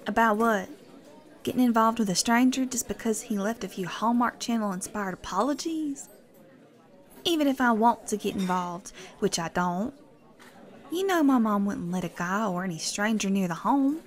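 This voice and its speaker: A woman's voice: mid-range voice, animated, normal speed, lightly acted. Reluctant, skeptical young woman, acted by a woman: mid-range voice, dry and sardonic, naturalistic audio drama.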